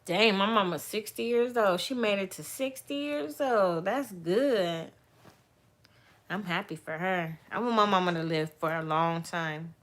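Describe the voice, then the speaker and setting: A woman, chatting casually and candidly with viewers, rambling and turning soft and heartfelt as she talks. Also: medium pitch, unhurried. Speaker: vlogger answering viewer questions. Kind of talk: casual livestream chat.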